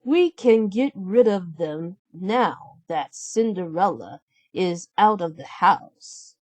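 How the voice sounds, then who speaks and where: A woman's voice: lowish voice, animated, slow pace, no echo. A woman voicing a mean, haughty stepmother in a children's storybook, commanding and disdainful. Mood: bossy, scornful. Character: mean, scheming, haughty middle-aged stepmother.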